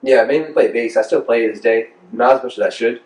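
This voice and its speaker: A man's voice: mid-range voice, quick pace, darkish tone, recorded from a distance. An American man in his thirties chatting casually in an interview as a music producer, informal, slangy and rambling. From Rhode Island, United States. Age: 30s. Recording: roomy, echoing, off the mic.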